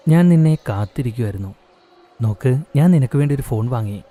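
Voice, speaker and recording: A man's voice: mid-range voice, animated, fairly quick, close to an everyday voice. A man voicing a kind young husband who coaxes and reassures his wife. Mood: coaxing. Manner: gentle, coaxing.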